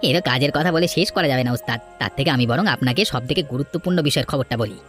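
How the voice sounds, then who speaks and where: A man voicing a sly, money-loving village henchman in an animated folk tale, obsequious and wheedling. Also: medium pitch, quick pace, faint music behind, lightly acted. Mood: sly, fawning.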